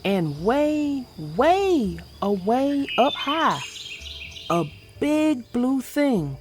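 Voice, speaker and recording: A woman reading a children's book aloud in an animated, expressive, sing-song way. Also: high-pitched, drawn-out words, noisy background. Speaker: storyteller.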